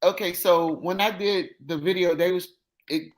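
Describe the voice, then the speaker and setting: Deep-voiced woman, 50s, blunt and emphatic co-host of a casual chat. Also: steady tone, normal speed. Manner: blunt, emphatic, repeats her points.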